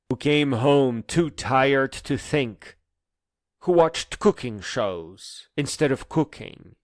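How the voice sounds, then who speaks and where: A man delivering a scripted spoken-word monologue in a solemn, deliberate way with poetic phrasing and pauses. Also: mid-range voice, dry recording, clean studio sound.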